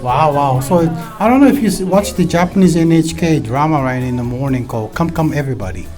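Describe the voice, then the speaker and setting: A man's voice: a little high, expressive, fast. Male radio host, music behind him. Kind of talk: live bilingual radio interview. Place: live broadcast at a market event.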